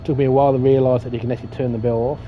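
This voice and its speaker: A man's voice: mid-range voice, flat delivery, very fast. A man chatting casually while playing a train driving game, rambling and joking at himself. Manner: rambling, self-deprecating, mild swearing. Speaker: gaming streamer. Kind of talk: casual gameplay commentary. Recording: dull lo-fi audio with faint game noise.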